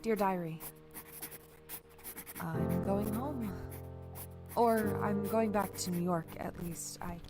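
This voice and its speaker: Audio drama diarist, played by a woman: young, reflective, unhurried female voice, mid pitch, wistful monologue. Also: natural voice. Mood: pensive, wistful. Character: reflective young woman confiding in her diary. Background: music, writing sound.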